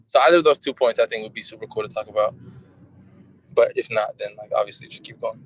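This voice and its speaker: A man speaking informally in a group discussion, halting often as he searches for his words. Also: on the low side, poor audio.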